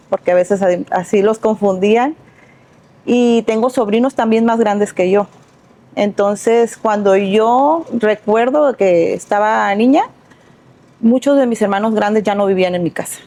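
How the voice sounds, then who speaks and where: A middle-aged woman recounts her upbringing as an interview guest. Her voice is mellow and warm, at a moderate pitch. She speaks softly and thoughtfully, often restarting phrases. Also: unhurried. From Sinaloa, Mexico. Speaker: guest, entrepreneur. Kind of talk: casual interview storytelling.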